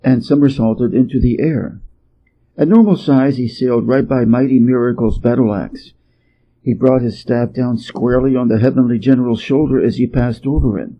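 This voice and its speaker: A man from Hawaii reading a storybook tale as an expressive narrator. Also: mid-range voice, moderate pace, muffled recording. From Hawaii, United States.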